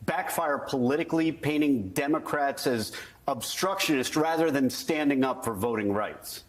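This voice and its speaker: A man's voice: medium pitch, normal speed, slight background noise. A male TV interviewer asking a pointed, probing question.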